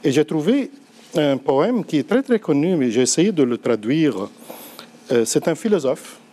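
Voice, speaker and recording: A middle-aged man from Lebanon, an Arabic speaker, giving an earnest, measured conference talk at a university. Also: fairly high pitch, very animated, warm tone.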